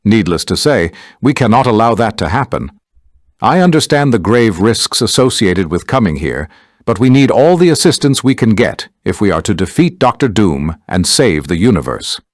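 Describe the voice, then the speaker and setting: A man's voice: on the low side, sing-song. A man acting a dignified, formal older mentor who leads a team of heroes in a video game. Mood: solemn warning. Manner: formal, measured, grave.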